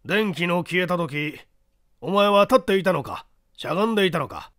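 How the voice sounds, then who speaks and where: A man's voice: high voice, lively intonation, normal speed, a bit bright, no room sound, studio quality. Man playing blunt, probing examining judge. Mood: stern, probing. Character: stern male examining judge grilling a witness.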